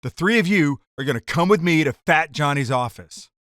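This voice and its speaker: A man's voice: fairly high pitch, expressive, fairly quick, fairly bright tone, no echo, studio quality. A man playing a tough, wisecracking gangster in a 1940s noir radio drama, with slangy hard-boiled delivery. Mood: commanding. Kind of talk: noir detective radio drama acting and narration.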